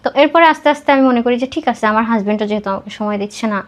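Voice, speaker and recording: A woman's voice: on the high side, rapid-fire. A woman with a Bangladeshi accent telling a personal life story as a podcast guest, dropping English words into her talk.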